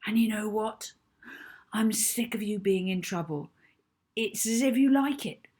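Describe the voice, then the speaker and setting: Lady doing a fed up schoolboy, bit raspy, blunt, kids story dialogue. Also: medium pitch, measured pace, a little mellow, voice pushed up. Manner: exasperated, blunt, matter-of-fact. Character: fed-up schoolboy, normally easygoing, bluntly honest. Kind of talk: acted children's audiobook dialogue.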